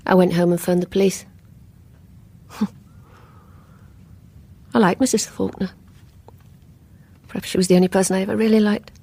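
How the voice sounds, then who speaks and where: Breathy, bitter, vengeful woman played by an actress. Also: medium pitch, steady tone, moderate pace, long pauses, dark tone, close to an everyday voice. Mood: bitter musing. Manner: brooding, wryly resentful. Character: bitter, vengeful confined woman confiding in her doctor. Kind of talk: radio play monologue.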